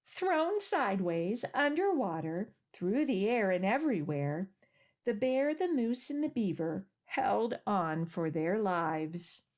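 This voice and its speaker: A woman hosting a children's storytime, reading aloud and leading rhymes with clear, deliberate phrasing for young listeners. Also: medium pitch, big pitch swings, darkish tone. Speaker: children's library storytime host.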